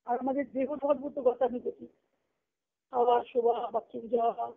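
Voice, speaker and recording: A woman's voice: on the high side, fairly even intonation, normal speed, vocal fry. Woman teaching over a tinny phone line. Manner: didactic, with short phrases, repetition and rhetorical questions. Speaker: spiritual teacher giving a discourse. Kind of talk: devotional discourse on a group conference call. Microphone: telephone.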